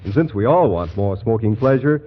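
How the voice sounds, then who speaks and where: A man's voice: on the low side, animated, brisk, slightly rough. A man as a radio announcer, reading a scripted 1940s commercial. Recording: dull old 1940s radio broadcast.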